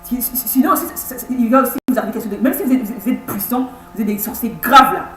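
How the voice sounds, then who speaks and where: Woman in her thirties, halting and insistent. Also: fairly high pitch, soft background music. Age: 30s. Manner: halting, many repeated words and restarts; insistent, pleading. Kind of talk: personal video message. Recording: echoey room with faint hum.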